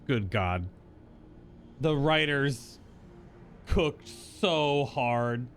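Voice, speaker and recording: A man's voice: medium pitch, expressive. A male game streamer gives casual reaction commentary in a mid-range voice. He takes his time, stretching and stressing words as he thinks aloud. There is faint noise behind him. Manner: drawn-out, emphatic, thinking aloud.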